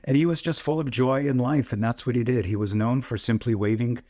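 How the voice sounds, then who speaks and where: A man's voice: mid-range voice, steady tone, fairly quick, dark tone, dry recording. A man co-hosting a casual news podcast, telling stories in a reflective way. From Hungarian-speaking family. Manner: reflective storyteller, reads aloud at times. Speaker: podcast co-host.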